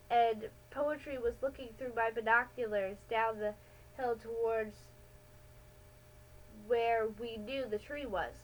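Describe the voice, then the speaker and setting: A woman giving an amateur dramatic reading of a children's adventure story, halting and correcting herself now and then. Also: on the high side, poor audio. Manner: halting, with stumbles and self-corrections.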